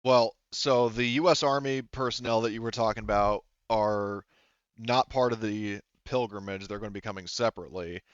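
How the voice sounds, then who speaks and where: Clear-voiced man explaining a tabletop game. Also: lowish voice, moderate pace, no room sound, muffled recording. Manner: patient, step-by-step clarifying. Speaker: game master.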